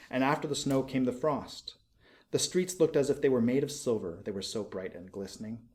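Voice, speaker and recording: A male audiobook narrator with a mid-pitched voice reads a literary tale. His delivery is expressive yet measured, with each phrase set out deliberately.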